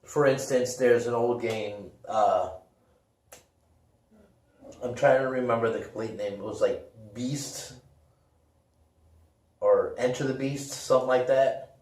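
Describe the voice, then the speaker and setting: Mellow-voiced man in an echoey room. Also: medium pitch, slow, long pauses. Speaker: podcast co-host. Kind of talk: casual chat about games and movies. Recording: echoey room, distant from the mic.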